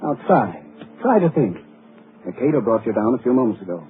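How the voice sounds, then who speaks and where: An actor playing a grave, measured doctor explaining a dire situation in a 1940s radio drama. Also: male voice, mid-range voice, sing-song, soft background music, close to an everyday voice. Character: grave middle-aged male doctor. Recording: tinny old radio broadcast with hiss.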